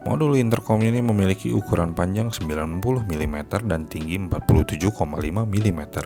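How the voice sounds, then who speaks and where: A man narrating a scripted gadget review as a product reviewer. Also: low voice. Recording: music behind the voice. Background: music.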